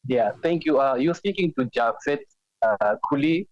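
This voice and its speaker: A man's voice: on the high side, expressive, moderate pace, dry recording. Congolese guy calling in, lots of uh and restarts, dull dry phone-ish line. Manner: hesitant, many fillers and restarts. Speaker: caller. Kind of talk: phone-in call.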